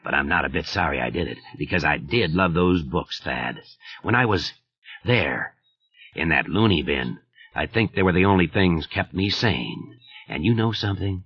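A man voicing a menacing, mocking man who talks in a slow, low, easy drawl. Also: animated, breathy edge, exaggerated voice. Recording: muffled lo-fi audio.

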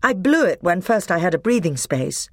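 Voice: mid-range voice, very animated, normal speed, no echo, clean studio sound, voice pushed up. A reader voicing a young male king who speaks firmly and urgently. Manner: firm, impatient.

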